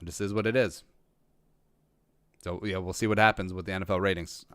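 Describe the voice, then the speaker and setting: Low-voiced man hosting a casual livestream. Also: fairly even intonation, brisk, a bit bright, clean tone, no echo. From rural Minnesota. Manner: rambling, restarts often, dry self-aware humor. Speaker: podcast host answering live chat.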